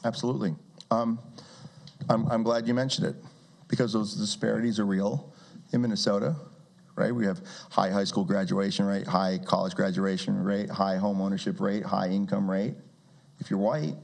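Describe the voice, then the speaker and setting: A man in his forties with a rough, gravelly voice, sitting low in pitch. He answers audience questions at a community meeting, speaking into a handheld mic. He talks in a loose, conversational way, restarting words and dropping wry asides. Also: darkish tone. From Brazil. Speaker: guest speaker. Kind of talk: public talk with audience questions.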